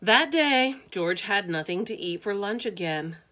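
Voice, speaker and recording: A woman reading a children's storybook aloud with expressive, deliberate phrasing and casual asides. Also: medium pitch.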